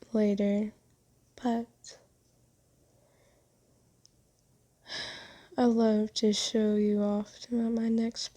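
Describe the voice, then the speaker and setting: A woman playing a kind, doting, genteel vampire in an ASMR roleplay, speaking softly and soothingly with teasing endearments. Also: a little high. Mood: teasing, adoring.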